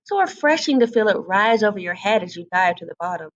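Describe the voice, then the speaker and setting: A woman's voice: mid-range voice, animated, quick pace, highs cut off, natural voice. A woman voicing a meek, earnest young male duckling in a storybook, timid and plaintive. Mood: wistful. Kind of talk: storybook read-aloud character voice.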